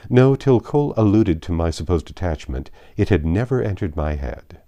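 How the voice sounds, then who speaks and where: A man voicing a frank, composed country gentleman from a classic novel, with an emphatic, measured and formal delivery. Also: sits low, sing-song, no room sound. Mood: frank, earnest.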